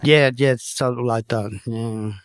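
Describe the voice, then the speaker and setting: A man's voice: mid-range voice, steady tone, unhurried, clean tone, no room sound, clean studio sound. A man from Vietnam chatting casually as a guest, in loose, clipped English. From Vietnam, came to Australia as a small boy. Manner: loose, clipped non-native grammar. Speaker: refugee podcast guest. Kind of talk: casual interview chat.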